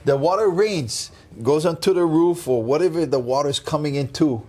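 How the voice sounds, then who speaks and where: A man's voice: fairly high pitch, moderate pace, mellow. A man, hosting an interview and prompting his guest eagerly with questions, mixing in words. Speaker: host.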